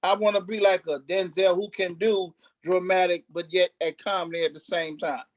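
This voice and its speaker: A man's voice: sits high, fairly even intonation, normal speed, dry recording. A man, an actor, talking casually in a panel conversation, emphatic and repeating himself to drive points home.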